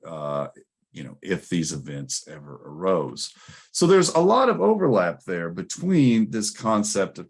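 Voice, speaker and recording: A man with a low voice delivers an academic presentation. He explains in a scholarly manner, pausing and hesitating as he works through his points. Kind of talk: conference presentation, explanatory lecture.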